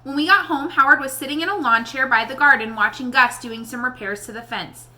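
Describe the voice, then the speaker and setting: Bright, high-voiced woman reading a story aloud. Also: fairly quick. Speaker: read-aloud reader addressing young listeners. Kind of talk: expressive storybook narration.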